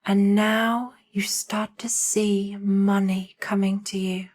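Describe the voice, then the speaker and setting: A woman narrating a guided meditation in a soothing, hushed manner, leaving long pauses between short phrases. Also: mid-range voice, little pitch movement.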